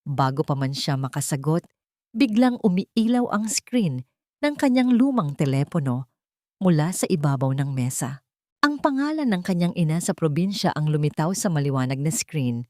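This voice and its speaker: A woman narrating a scripted dramatic story in a measured, solemn, expressive manner. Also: low-pitched, dry recording, clean studio sound.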